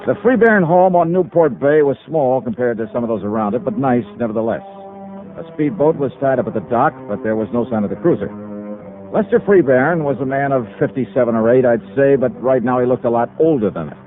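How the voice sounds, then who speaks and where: Male actor as hard-boiled radio investigator: wry, clipped, lively intonation, muffled old broadcast sound. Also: mid-range voice, fairly quick, close to an everyday voice. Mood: wry, businesslike. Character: brisk, wry male insurance investigator, American. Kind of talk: 1950s radio drama acting and first-person narration.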